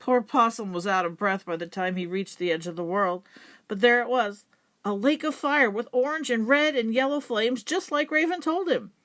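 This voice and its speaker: A woman reading a children's folktale as a storyteller, with dramatic, deliberate emphasis. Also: a little high, fairly bright tone. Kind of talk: expressive children's folktale narration.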